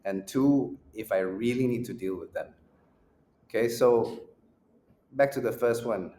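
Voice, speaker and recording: A man, a guest in a casual interview who answers in a measured, reflective way and lays out his points in order. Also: medium pitch, warm tone. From Malaysia. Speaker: guest, music school founder. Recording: roomy echo with faint background noise.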